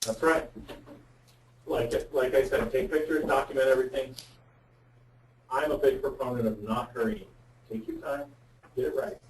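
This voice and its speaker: A man's voice: mid-range voice, steady tone, normal speed, pauses often. A man giving candid, plain-spoken explanations as a guest expert on a web conference call. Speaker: guest speaker, insurance representative. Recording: roomy, distant mic with faint noise.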